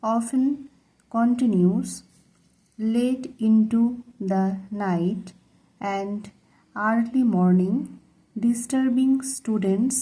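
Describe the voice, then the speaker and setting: A woman tutor reading a model letter aloud as a lesson, in deliberate word-by-word, dictation-style phrasing. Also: a little high, dark tone, low-quality recording. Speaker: English tutor.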